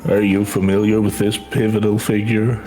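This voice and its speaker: Earnest older teacher from a video game , played by a man: low register, formal and measured, music underneath. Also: little pitch movement, mellow, close to an everyday voice, rough recording. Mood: earnest, instructive. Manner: measured, formal storytelling delivery. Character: earnest older schoolteacher devoted to tradition. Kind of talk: reading video game dialogue aloud.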